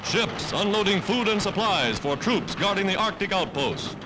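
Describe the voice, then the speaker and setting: A man's voice: sits high, lively intonation, normal speed, background noise. A man narrating a wartime newsreel in a declamatory, patriotic announcer style, on a vintage 1940s soundtrack. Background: loud music score.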